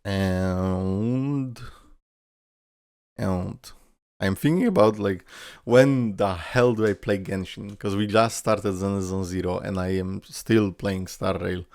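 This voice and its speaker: A man's voice: low voice, darkish tone, no echo, clean studio sound. A man giving casual, informal reaction commentary as a YouTuber, thinking aloud and swearing mildly. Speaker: YouTuber, streamer.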